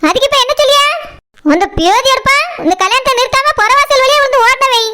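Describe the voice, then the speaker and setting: Woman playing a shrill, bossy village elder sister. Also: fast talker, bright, forceful tone. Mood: angry scolding. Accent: Kanyakumari Tamil. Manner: scolding, threatening, lecturing. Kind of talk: village comedy-drama cartoon dialogue.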